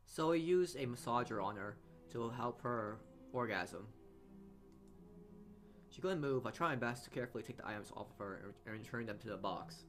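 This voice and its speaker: A man's voice: medium pitch, animated, moderate pace, a bit bright. A man reading a visual novel's narration aloud as a let's player, often stumbling over words and correcting himself, with asides of his own. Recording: game music behind the voice. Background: game music.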